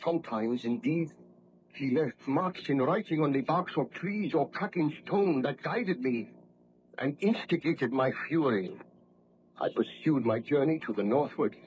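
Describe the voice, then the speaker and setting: An actor playing a tormented, guilt-ridden baron scientist, speaking in a formal, anguished, declamatory way in a 1930s radio horror play. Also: male voice, a little high, unhurried, darkish tone, lo-fi sound, close to an everyday voice. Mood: weary, haunted. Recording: noisy old 1930s radio recording.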